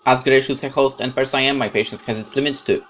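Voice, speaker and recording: A man's voice: mid-range voice, fairly even intonation, fast, fairly bright tone. A man reading a horror visual novel aloud as a let's play host, dramatic narration with casual asides.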